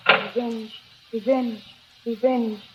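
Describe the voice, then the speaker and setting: A woman's voice: on the high side, steady tone, slow, slight background noise. A woman acting a young woman in a 1940s radio horror play. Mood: dazed, obsessive. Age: young adult. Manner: meek, deferential, hesitant. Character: timid, eager, naive young woman seeking work. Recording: muffled old radio recording.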